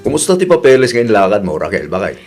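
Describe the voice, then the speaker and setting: A man's voice: on the low side, big pitch swings, fast, darkish tone, soft background music. A man playing an easygoing, mildly grumbly village husband in a radio comedy drama, questioning and colloquial, with a Filipino accent.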